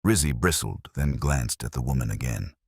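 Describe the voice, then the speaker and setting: A man's voice: extremely low pitch, animated, moderate pace, a little mellow, husky, no room sound, studio quality. A man narrating a first-person detective story in a wry, hard-boiled, scripted manner. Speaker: audiobook narrator.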